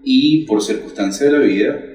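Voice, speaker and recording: A man in his forties gives an earnest, measured account of his faith as a guest. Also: medium pitch, darkish tone, echoey room, recorded from a distance. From Venezuela. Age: 40s. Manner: earnest, measured storytelling with pauses. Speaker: catechist guest. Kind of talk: personal faith testimony.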